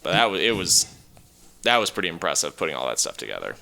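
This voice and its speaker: Man, deep pitch, strikingly sharp timbre, casual explanatory podcast talk, loosely hedged phrasing. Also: sing-song, quick pace, gravelly. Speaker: podcast co-host. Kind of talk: casual film discussion.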